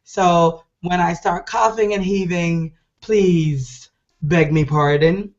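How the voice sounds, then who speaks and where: A middle-aged woman hosting an online show, hoarse and deep-voiced from a cold, talking in a chatty, rambling, enthusiastic way. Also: takes it slowly, a little mellow, highs cut off. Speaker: host. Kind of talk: casual online show talk.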